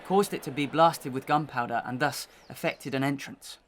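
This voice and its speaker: An actor playing a methodical, suspicious gentleman accuser in a Victorian audio melodrama, telling his account formally and dramatically. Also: mid-range voice, normal speed, a little hiss or hum, natural voice. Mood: urgent, grave.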